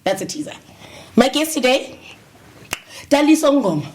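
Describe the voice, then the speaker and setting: A woman hosting an interview podcast, lively and teasing, laughing often. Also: high-pitched, moderate pace, slight background noise. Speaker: podcast host. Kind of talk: upbeat interview chat.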